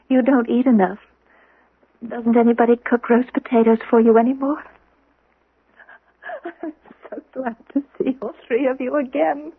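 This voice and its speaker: An actress playing a sweet, confused young woman with a fogged memory in a 1970s radio drama, speaking in a breathy, wondering, faltering way. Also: fairly high pitch, fairly even intonation, measured pace, pauses often, no echo. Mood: tender. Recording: muffled, narrow-band old radio broadcast.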